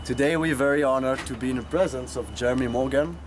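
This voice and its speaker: Guy hosting a casual interview, kinda upbeat, a little halting, street noise around. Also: medium pitch. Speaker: host.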